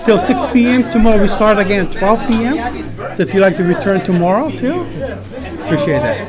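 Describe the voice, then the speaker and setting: A man's voice: high-pitched, animated, fairly quick. Guy with japanese accent hosting live radio, casual and polite, music and crowd all round him. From Los Angeles, based there. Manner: polite, switches between Japanese and English. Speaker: radio host. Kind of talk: live event broadcast, casual chat. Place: live pop-up event at a market. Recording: busy event crowd and music. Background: crowd chatter and music.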